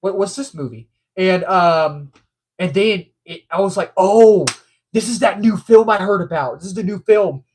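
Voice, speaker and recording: A man's voice: high voice, normal speed, darkish tone, slight background noise. A man hosting a casual movie news livestream, stuffed up from allergies, rambling and repeating himself with frequent ums.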